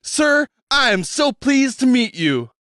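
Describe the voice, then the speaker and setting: A shy, earnest talking head, as a man puts him on, hesitant and mild, a few steps above the reader's own pitch. The sound is bright and dry, with a lively rise and fall. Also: measured pace, no echo, exaggerated voice. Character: polite, timid middle-aged man, a talking severed head.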